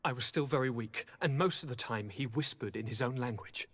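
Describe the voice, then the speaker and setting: An actor playing a cheeky, blithe young highborn gentleman in a fantasy game, with a breathy voice and wry, courtly, theatrical manner. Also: a little fast, close to an everyday voice. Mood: animated recounting. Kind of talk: dramatic fantasy game acting.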